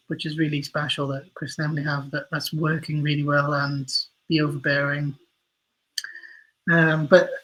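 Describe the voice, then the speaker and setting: A man with a slightly high, husky voice speaks as a guest in a reflective interview. His intonation stays fairly even, and he feels his way through thoughts, often correcting his wording. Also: low-quality recording. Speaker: interview guest, audio drama writer and creator.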